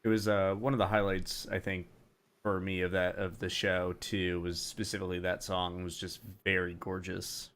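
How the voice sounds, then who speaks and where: Male commentator, deep register, easy conversational commentary. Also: flat delivery, normal speed, fairly bright tone. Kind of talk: casual commentary.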